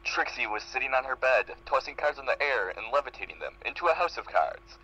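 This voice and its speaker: A man's voice: mid-range voice, big pitch swings, brisk, pushed and bright, lo-fi sound. A man reading fan fiction aloud as a dramatic amateur narrator. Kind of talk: dramatic group reading with laughter breaks.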